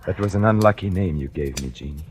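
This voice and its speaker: An actor playing a weary, tormented painter who narrates and speaks in a 1940s radio drama. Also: male voice, low voice, quick pace, darkish tone, slight background noise, lightly acted. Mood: weary, bitter. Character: weary, tormented male painter confessing to murder. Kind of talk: 1940s radio acting, first-person narration and dialogue. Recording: old radio drama recording.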